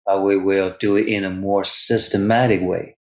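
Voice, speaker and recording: A man guiding meditation as a teacher, speaking in short, measured phrases with long pauses between them. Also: deep voice, flat delivery. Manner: refers to himself in third person as teacher. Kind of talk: guided meditation instruction.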